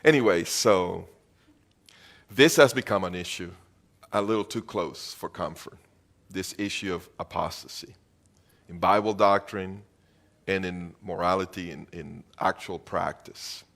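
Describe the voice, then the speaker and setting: A man's voice: low voice, a little slow, vocal fry, a bit bright. A middle-aged man from Venezuela preaching a sermon as pastor, earnest and emphatic, repeating phrases for weight.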